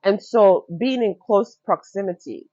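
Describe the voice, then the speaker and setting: A woman's voice: medium pitch, measured pace, dark tone, dry recording. A woman from Nigeria with a Nigerian accent, a guest on a podcast interview, talking earnestly and reflectively and repeating her key points. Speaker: fashion entrepreneur guest. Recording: dull lo-fi sound.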